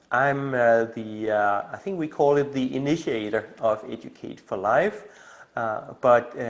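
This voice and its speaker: A middle-aged man with a Danish accent, presenting informally on a video call, relaxed and hesitant with many filler sounds. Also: mid-range voice. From Denmark. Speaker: community initiator presenting on a call. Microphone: video call microphone.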